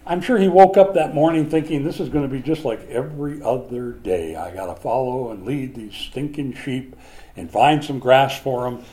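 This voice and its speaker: A man preaching a conversational Bible lesson, animated at times and hushed at others. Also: mid-range voice, moderate pace. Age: older. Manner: animated, swings from loud to hushed.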